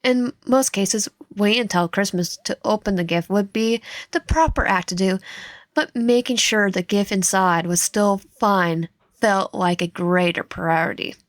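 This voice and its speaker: Woman haltingly reading a game aloud, dry sound. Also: mid-range voice, no room sound. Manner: halting, stumbling reader who corrects herself. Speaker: streamer reading a visual novel aloud. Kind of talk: reading game text aloud with asides and character lines.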